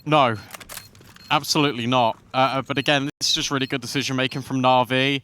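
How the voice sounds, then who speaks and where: A man doing live play-by-play commentary on an esports match, excitable and quick to build with the action. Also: mid-range voice, a little hiss or hum. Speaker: esports caster.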